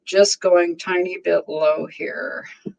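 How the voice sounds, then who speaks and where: A woman's voice: lowish voice, fairly even intonation, slow, gravelly, a little mellow, a little hiss or hum, rough recording. A woman in her sixties with a strong voice, hosting a casual livestream and chatting easily with her viewers, often laughing. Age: 60s. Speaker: livestream host.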